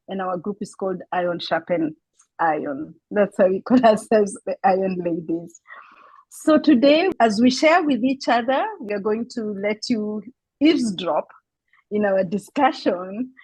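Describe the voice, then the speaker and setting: A woman's voice: medium pitch, moderate pace, darkish tone, slightly rough, no room sound, poor audio. A woman hosting a casual faith-based group chat, introducing friends and encouraging listeners. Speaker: host of a women's group talk.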